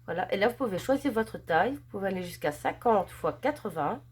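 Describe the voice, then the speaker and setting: A woman's voice: medium pitch, animated, fairly quick, husky. Woman, stuffy with a cold, chatty and gushing, filler-heavy unboxing host. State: has a head cold. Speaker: crafts channel host. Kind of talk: casual unboxing chat.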